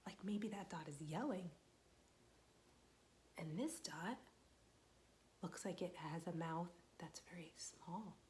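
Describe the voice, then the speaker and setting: A young woman, a children's librarian, reading a picture book aloud to small children, gentle and patient, asking them questions and waiting for their answers. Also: fairly low pitch, takes it slowly, long pauses, a little hiss or hum.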